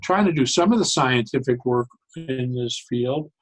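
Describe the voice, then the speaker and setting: An older man talking reflectively as an interview guest, deliberate and halting, often stopping and restarting his phrases. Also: mid-range voice, animated, poor audio. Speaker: interview guest, psychologist and humor educator.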